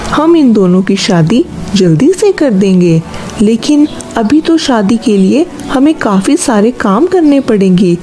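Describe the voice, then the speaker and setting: A woman's voice: medium pitch, warm tone, lightly acted. A woman voicing a genial, purposeful older uncle bird in a cartoon moral story, with a familiar, avuncular manner.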